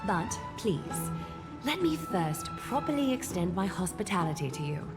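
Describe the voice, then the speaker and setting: An actress playing a gracious, composed noblewoman in a video game, speaking formally and with measured courtesy. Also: mid-range voice, sing-song. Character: gracious, composed noblewoman host of an ancient city. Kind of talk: scripted video game voice acting. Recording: music behind the voice.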